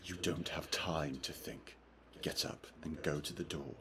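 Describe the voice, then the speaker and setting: A man's voice: rumbling low, unhurried, crowd noise. An actor playing a goading, scornful male inner voice in a video game, commanding and contemptuous. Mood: scornful, urging.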